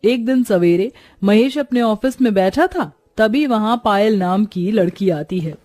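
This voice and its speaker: A woman's voice: medium pitch, animated, a little slow, warm tone. A woman narrating a moral story in a scripted storytelling style.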